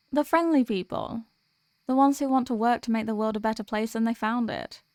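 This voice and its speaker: A woman's voice: fairly high pitch, a little mellow, dry recording, clean studio sound, close to an everyday voice. A woman playing a sweet, weary demoness girlfriend in an ASMR roleplay, chatting affectionately and intimately to her partner. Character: sweet, weary demoness girlfriend venting about office work.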